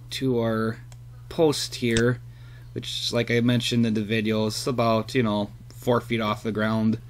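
Man, slow unhurried talk, rambling with fillers, faint background hum. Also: mid-range voice. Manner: rambling, hesitant with many fillers. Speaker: hobbyist vlogger. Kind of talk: casual show-and-tell. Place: outdoors in a front yard, then at a computer.

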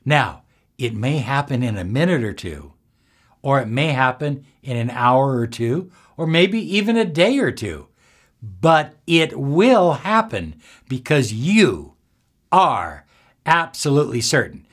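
Slow, expressive older man coaching. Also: mid-range voice, husky. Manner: deliberate, emphatic, pausing between short phrases. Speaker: self-help coach. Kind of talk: instructional talk to camera. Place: small indoor room with bookshelves.

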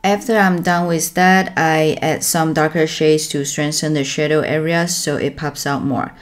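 A young woman calmly explaining step by step how she colors a drawing, as the host of an art tutorial. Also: low-pitched, a little slow, soft background music. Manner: calm, instructive.

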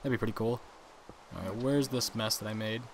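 A young man casually commenting on his own gameplay, chatty and quick to laugh at his mistakes. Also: lowish voice, steady tone, a little hiss or hum, poor audio. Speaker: gaming channel host.

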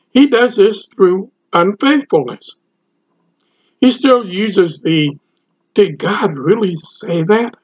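An older man, a pastor, preaching a sermon slowly and deliberately to a webcam from his home study. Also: high voice, slightly rough. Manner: slow, deliberate preaching to camera. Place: home study with bookshelves, indoors. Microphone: webcam, seated at computer. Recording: dull lo-fi webcam audio.

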